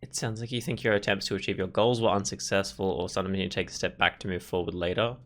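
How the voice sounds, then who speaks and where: A man's voice: sits low, steady tone, quick pace, a bit bright. A man hosting a let's play, commenting informally and thinking aloud over the choices. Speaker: gaming video host. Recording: voice-over with faint music.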